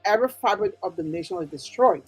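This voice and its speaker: A woman's voice: mid-range voice, animated, quick pace, breathy edge, soft background music, poor audio. A woman with a Liberian accent, explaining things earnestly and emphatically as an interview guest. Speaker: interview guest, nonprofit executive director.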